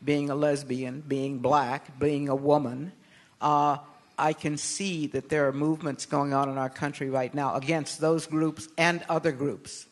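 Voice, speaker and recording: Older American woman, low-pitched and measured. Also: level tone. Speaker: interview guest, political activist. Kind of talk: live on-stage interview answers.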